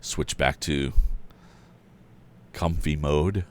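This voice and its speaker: A man hosting a casual story read-aloud, informal and a bit halting, slipping between narration and chatty asides. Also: very deep voice, a little hiss or hum. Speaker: storybook reader and host.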